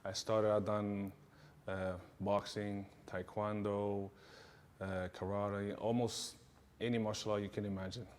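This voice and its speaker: A man, a martial arts instructor answering interview questions in a relaxed way, with many fillers and repeated yeahs. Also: on the low side, a little slow, slight background noise. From Sweden.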